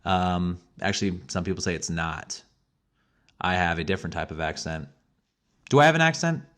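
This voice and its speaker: A man's voice: low-pitched, moderate pace, fairly bright tone. A young man from Missouri with a Missouri accent, hosting a solo podcast in a rambling, self-deprecating monologue. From Missouri, USA. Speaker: podcast host.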